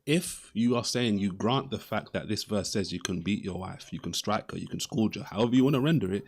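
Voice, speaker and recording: A man with a fairly low voice in a live religious debate. He interrogates persistently, circling back to the same question and pressing for a direct answer. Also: brisk.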